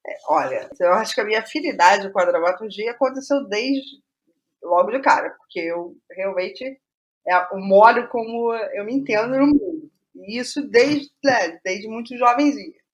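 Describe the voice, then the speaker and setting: A woman's voice: mid-range voice, animated, moderate pace, highs cut off. A Brazilian woman being interviewed as a playwright, talking casually and reflectively, thinking aloud and wandering into digressions.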